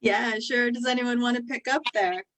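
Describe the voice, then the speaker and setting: A woman's voice: a little high, fairly even intonation, normal speed, fairly bright tone. A woman hosting a panel, welcoming and thanking each guest in turn. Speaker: host. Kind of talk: moderating a panel conversation.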